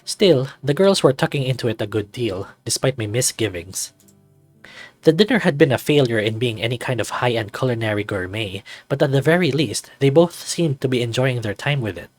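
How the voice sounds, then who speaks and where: Husky man narrating a first-person story. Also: medium pitch, dry recording.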